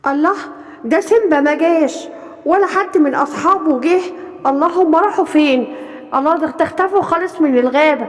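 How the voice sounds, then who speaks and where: A woman's voice: sits high, fairly quick, natural voice. A woman voicing a worried, loyal young animal in a children's cartoon, anxious and childlike, with an Egyptian accent. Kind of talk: children's cartoon storytelling in colloquial Arabic. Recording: long hall-like reverb.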